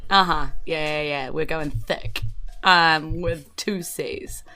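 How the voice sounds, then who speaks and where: A woman's voice: sits low, steady tone, takes it slowly, bright, forceful tone, faint music behind. A woman running a tabletop game as game master, narrating casually and jokily in an informal, improvised way. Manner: informal, jokey.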